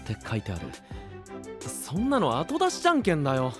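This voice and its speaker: A man's voice: a little high, wildly swooping pitch, a little fast. A male actor playing a cool-headed, teasing young knight in an anime audio drama, speaking in a casual, boyish, drily wry way. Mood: weary, complaining. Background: background music.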